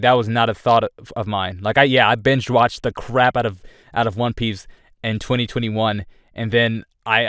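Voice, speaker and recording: A man's voice: mid-range voice, fairly bright tone, clear, resonant tone, no room sound, studio quality. A young man giving a candid personal update on his channel, rambling with frequent restarts. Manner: earnest and candid. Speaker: YouTuber.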